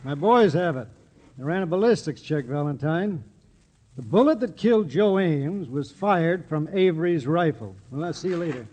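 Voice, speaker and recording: Higher-pitched small-town constable man. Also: expressive, moderate pace, a little mellow. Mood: shrewd, official. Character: plain-spoken, shrewd small-town male constable. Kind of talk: 1940s radio drama acting.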